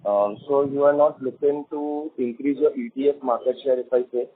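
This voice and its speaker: Man, husky, conference-call questioner, mid pitch, telephone band. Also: fairly even intonation, moderate pace, no echo. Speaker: investor caller asking questions. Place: conference call over phone line. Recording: dry, narrow tinny phone line.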